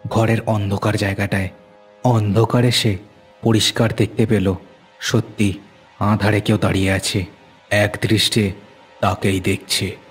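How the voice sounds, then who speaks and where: A male narrator tells a horror tale in a fairly low voice. His phrasing is deliberate and suspenseful, in a dramatic storytelling style, with music underneath.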